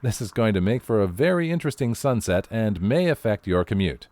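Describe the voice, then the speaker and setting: A man's voice: lowish voice, lively intonation, brisk, darkish tone, dry recording, natural voice. A man playing a field weather reporter in an audio drama, breezily delivering news of horrors like a newscaster. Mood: breezy, professional. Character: chirpy male field weather reporter amid eldritch horror.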